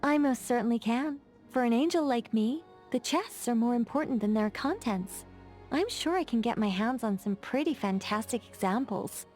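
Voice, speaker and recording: A woman's voice: a little high, expressive, normal speed, darkish tone, soft background music, natural voice. An actress voicing a poised, playful female mage in a game, talking in a wry, teasing, chatty way. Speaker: game character. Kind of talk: game voice lines.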